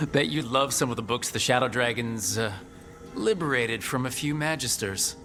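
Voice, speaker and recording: A man's voice: mid-range voice, a little slow, music in the background, natural voice. An actor playing a plain-spoken male adventurer in a fantasy video game, talking in a casual, wry way. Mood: curious.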